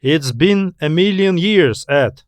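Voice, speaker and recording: A man voicing a cautious, matter-of-fact male space explorer who explains things in a measured way in an audio story. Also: on the high side, lively intonation, dry recording, studio quality, voice pushed up. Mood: unbothered.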